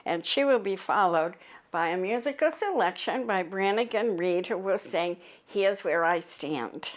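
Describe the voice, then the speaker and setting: A woman minister leading a church service, guiding a meditation in a calm, measured way and reciting a declaration of principles. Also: medium pitch.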